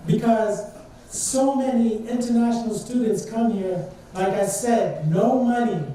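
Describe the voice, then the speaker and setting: Young man from Trinidad, high-voiced and mellow, giving an earnest student sermon; recorded from far off in a very echoey church. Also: unhurried. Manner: earnest, conversational preaching with rhetorical questions. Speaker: student speaker at church service. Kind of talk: informal sermon. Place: church sanctuary. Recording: distant and very echoey church hall, faint noise.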